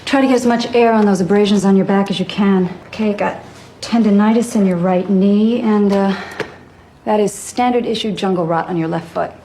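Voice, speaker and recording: Woman acting a mellow, matter-of-fact military medic. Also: mid-range voice, a little hiss or hum, lightly acted. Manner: brisk, clinical. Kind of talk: film drama acting.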